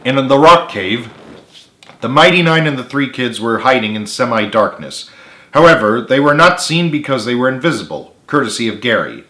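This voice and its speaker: Casting: male author-narrator, mid-pitched, dramatic storybook delivery, roomy sound. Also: lively intonation, normal speed, pushed and bright. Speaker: author reading own book. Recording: echoey room.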